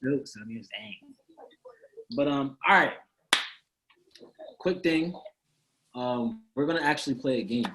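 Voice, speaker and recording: A man in his twenties hosting a casual group chat with friends on a video call, animated and quick to laugh. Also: mid-range voice, noisy background. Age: 20s. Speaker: host.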